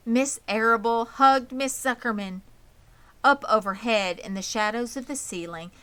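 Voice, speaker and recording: A woman's voice: medium pitch, bright, forceful tone. A woman narrating a children's novel aloud as an audiobook reader. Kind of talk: scripted children's story narration.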